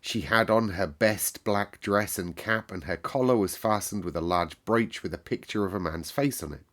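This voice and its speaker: Low-voiced man reading a story aloud. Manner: calm, measured narration. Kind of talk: reading a classic novel aloud.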